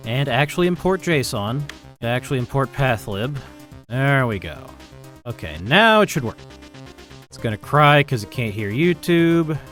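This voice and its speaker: A man's voice: mid-range voice, a bit bright. Muttering man coding live, music behind. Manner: rambling, muttering to himself, self-deprecating. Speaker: streamer programmer. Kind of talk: casual live coding commentary, thinking aloud.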